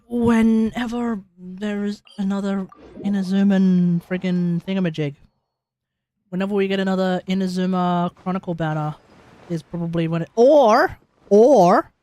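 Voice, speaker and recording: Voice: mid-range voice, a little mellow. A game livestreamer giving casual live commentary on gameplay, with playful exclamations and muttered asides.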